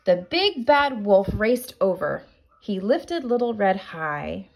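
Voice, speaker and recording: A woman teacher reading a children's picture book aloud in an expressive, deliberate storytelling manner. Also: medium pitch, gravelly.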